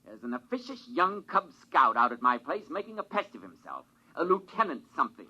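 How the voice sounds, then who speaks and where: A man's voice: a little high, sing-song, fairly quick, highs cut off. A crotchety elderly scientist in a mid-century radio drama. He speaks with biting sarcasm and theatrical indignation, treating whoever listens as a fool. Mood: outraged. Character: cranky, insulting old male scientist, about seventy-five. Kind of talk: 1950s radio drama acting.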